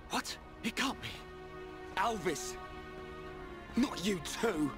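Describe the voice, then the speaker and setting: A man's voice: sits high, expressive, slow pace. A man with a British accent playing a hot-headed, loyal young fighter in a video game, blunt and defiant. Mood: excited, shocked. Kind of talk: video game cutscene acting. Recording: loud music under the dialogue.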